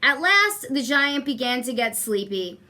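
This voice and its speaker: Slow, bright-voiced woman reading a storybook. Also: sits high, sing-song. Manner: deliberate repetition and emphasis for young listeners. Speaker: guest story reader. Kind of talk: expressive children's storybook reading.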